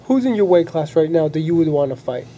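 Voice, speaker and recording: A man working as a sports reporter, firing off quick, upbeat questions in a casual backstage interview inside a hotel. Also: a little high, lively intonation, mellow, a little hiss or hum. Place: hotel corridors and event hall indoors.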